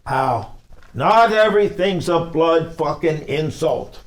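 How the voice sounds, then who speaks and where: A man's voice: on the high side, animated, slow. A man playing a frank, wry mobster who acts as peacemaker, reading colloquially at a script table read. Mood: calming. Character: frank mobster, calming peacemaker among hotheads.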